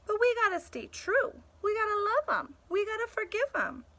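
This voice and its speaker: A woman's voice: very high voice, sing-song, moderate pace, bright, forceful tone, voice pushed up, heavily acted. A woman voicing a meek, loyal, mistreated male mutt in a storybook, speaking in an earnest, pleading way. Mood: forgiving, earnest. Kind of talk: storybook character dialogue.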